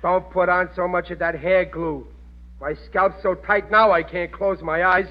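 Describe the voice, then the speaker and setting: Blundering American family man, high voice, working-class, wisecracking, vintage radio comedy, muffled recording. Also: steady tone, unhurried, very noisy. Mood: grumbling. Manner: wisecracking, blustering, dim-witted, ungrammatical.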